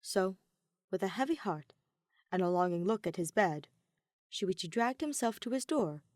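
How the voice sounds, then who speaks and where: A woman narrating fan fiction like an audiobook, measured and emotive, with dramatic pauses. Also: medium pitch, lively intonation, darkish tone, no echo, studio quality. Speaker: podfic reader.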